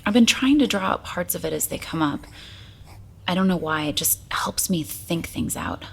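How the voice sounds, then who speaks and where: A woman's voice: on the low side, animated, moderate pace, vocal fry, slightly rough, exaggerated voice. A woman playing a troubled, idealistic young woman in an audio drama, guarded, weary and prickly. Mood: subdued, thoughtful.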